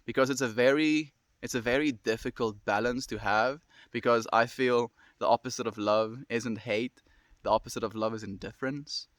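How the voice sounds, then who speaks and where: A young man with a South African accent chats with his stream viewers, talking quickly and answering them in a friendly, easygoing way. His voice sits at an ordinary middle pitch. The sound is dry and close, with no room echo. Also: steady tone, a bit bright. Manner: fast-talking, friendly, reads and answers comments. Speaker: livestreamer. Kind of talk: casual chat with viewers.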